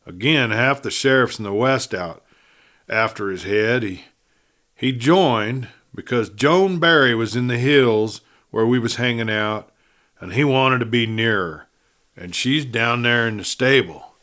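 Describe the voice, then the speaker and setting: A man's voice: measured pace, fairly bright tone, lightly acted. A man voicing a dying Western outlaw in an audiobook, desperate and sneering, speaking urgently in a rustic cowboy drawl as he gasps out a confession. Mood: urgent pleading. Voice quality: low, breathy. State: gasping, near death. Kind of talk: audiobook character voice.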